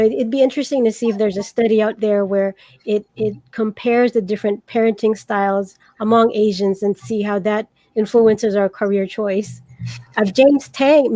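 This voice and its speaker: A woman moderating a panel discussion, introducing guests and asking questions in a measured way. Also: a little high, mellow, no echo. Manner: measured, with fillers and restarts.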